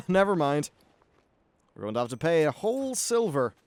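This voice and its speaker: A man's voice: fairly high pitch, big pitch swings, a little slow, no room sound. A man giving casual, informal let's-play commentary on an online fantasy game, mildly sweary as he reacts to what unfolds. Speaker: gaming YouTuber.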